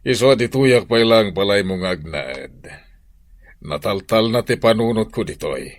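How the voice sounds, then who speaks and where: A man's voice: on the low side, animated, normal speed, no echo, close to an everyday voice. An actor playing a weary middle-aged father in a radio drama. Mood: weary, fed up. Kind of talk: Ilocano radio drama acting.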